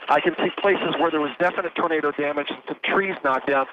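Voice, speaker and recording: Over a muffled, clearly noisy phone link, a man reports live from an aircraft. His voice is fairly high, and he describes what he sees as an eyewitness meteorologist. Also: fast. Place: aboard an aircraft in flight.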